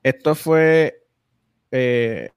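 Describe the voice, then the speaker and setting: A man's voice: medium pitch, drawn-out words, clear, resonant tone, no room sound, studio quality. A young man hosting a casual podcast, rambling with lots of fillers and restarts. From United States. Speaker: podcast host.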